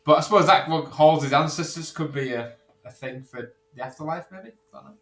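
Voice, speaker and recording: A man's voice: on the high side, brisk, crowd noise. A man bantering casually as a podcast co-host, with a sweary, jokey way of talking. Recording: roomy, echoey sound.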